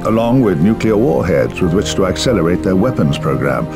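An actor playing a weary, urbane old spymaster in a video game, musing theatrically and cryptically in drawn-out phrases. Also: male voice, deep voice, very animated, gravelly, over loud music, heavily acted. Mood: matter-of-fact. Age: older. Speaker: mysterious spymaster. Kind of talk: video game cutscene dialogue and narration.

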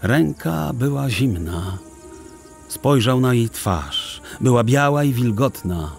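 A man narrating a literary story for an audio drama in a measured, expressive and solemn way. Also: mid-range voice, creaky, faint music behind.

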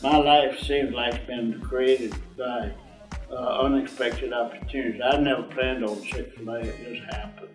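An older man being interviewed, telling stories in a calm, unhurried way. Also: mid-range voice, roomy sound, far from the mic, faint music behind, low-quality recording. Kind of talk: casual interview chat.

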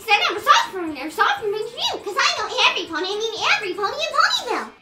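A woman voicing a hyper, chatty young party pony in a cartoon fandub, gushing breathlessly with loud gasps. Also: very high-pitched, sing-song, rapid-fire, heavily acted. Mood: bubbly, gushing. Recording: roomy echo under loud music.

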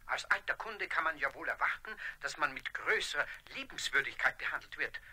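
Piercing, raspy professor on the telephone. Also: male voice, on the high side, lively intonation, fairly quick, bright, forceful tone, exaggerated voice. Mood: affronted. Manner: formal, indignant. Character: polite but easily affronted male professor, a long-standing customer. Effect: telephone filter. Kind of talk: radio play acting.

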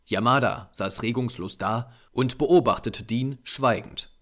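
A man's voice: mid-range voice, unhurried. A man narrating a scripted story as an audiobook reader.

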